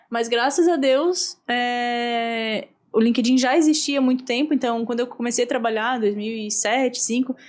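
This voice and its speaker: A Brazilian woman hosting a casual podcast chat. She talks bluntly and expressively, swears freely and acts out what other people said. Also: on the high side. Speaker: podcast host.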